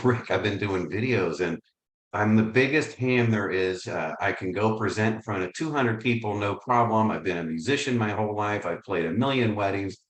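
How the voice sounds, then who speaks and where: Low-voiced upbeat man presenting on a call. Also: fairly bright tone, reverberant, poor audio. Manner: upbeat, conversational, self-deprecating. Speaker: real estate coaching presenter. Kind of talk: webinar presentation. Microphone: video call.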